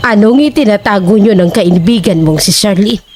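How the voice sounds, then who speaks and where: Woman playing a nosy landlady, menacing, insinuating, deliberate, horror audio drama, faint background noise. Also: mid-range voice, darkish tone, close to an everyday voice. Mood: menacing, probing. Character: nosy, menacing landlady probing tenants' dark secrets.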